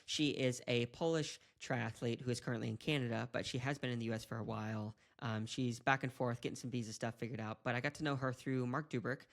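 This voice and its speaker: A man's voice: mid-range voice, fairly even intonation, fast, vocal fry, few pauses. A man hosting an interview podcast, chatty and upbeat, with frequent fillers. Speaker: podcast host.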